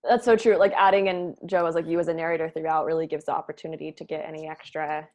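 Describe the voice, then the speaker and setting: A woman's voice: low voice, little pitch movement, quick pace, a little mellow. A woman scriptwriter talking casually in a group discussion about feedback. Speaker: scriptwriter leading a table read feedback session.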